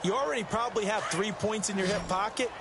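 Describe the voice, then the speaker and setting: A man's voice: high voice, fast. A man playing a confident American football colour analyst in a video game, explaining in a conversational, instructive way with an American accent. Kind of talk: sports video game commentary. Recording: loud stadium and game noise behind. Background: loud game ambience.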